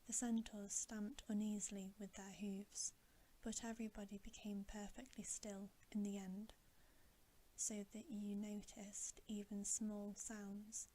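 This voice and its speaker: Slow, whispering woman reading a bedtime story. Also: mid-range voice, dark tone, rough recording. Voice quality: breathy whisper.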